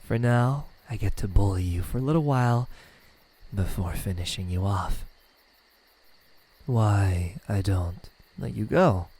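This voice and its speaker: A man voicing a smug, menacing yet melancholic demon in an ASMR roleplay, speaking in a hushed, taunting way. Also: lowish voice. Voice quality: breathy, slipping into whispers. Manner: slow, hushed, taunting delivery with pauses.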